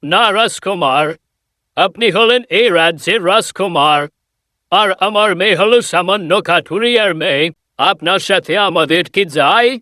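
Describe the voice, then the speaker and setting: Poor pleading woodcutter father , voiced by a man: high, bright, dry studio read. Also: normal speed, dry recording. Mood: humble. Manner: pleading, tender.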